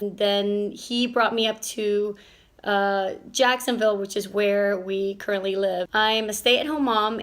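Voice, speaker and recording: A woman from Venezuela hosting a casual family vlog, rambling a bit with restarts and fillers as she talks. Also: medium pitch. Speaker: vlog host and stay-at-home mom.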